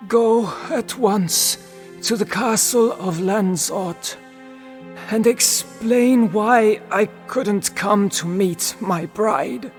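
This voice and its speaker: Guy acting earnest young noble in an audiobook tale, slow and solemn, big reverb on him. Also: high-pitched, faint music behind, exaggerated voice. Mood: dying, urgent. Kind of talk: scripted audiobook character lines.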